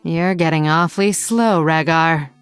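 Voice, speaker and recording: An actress voicing a proud, mocking blood elf rogue in a video game, with a haughty, sardonic manner. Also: female voice, low voice, unhurried, clear, resonant tone, soft background music. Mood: threatening. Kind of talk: video game character voice lines.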